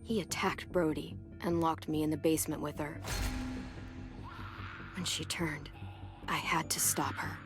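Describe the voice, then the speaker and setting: A low, sober voice for a protective teenage girl who has survived hard times. The delivery is measured, naturalistic game drama, with music playing underneath. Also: steady tone. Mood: grim recounting.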